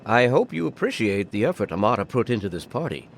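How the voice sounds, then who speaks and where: A man's voice: fairly low pitch, sing-song, fast, creaky, slight background noise. A man playing a stern, pompous, authoritarian vault overseer in a video game, formal and condescending. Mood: stern, warning. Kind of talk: video game character acting.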